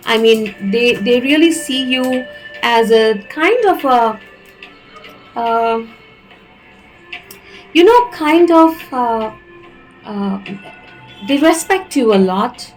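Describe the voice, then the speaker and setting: A fairly high-pitched woman with an Indian accent gives a slow, searching tarot reading, often pausing and restarting her sentences. Soft music plays quietly behind her voice. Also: animated, low-quality recording. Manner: hesitant, many fillers and restarts. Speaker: tarot reader.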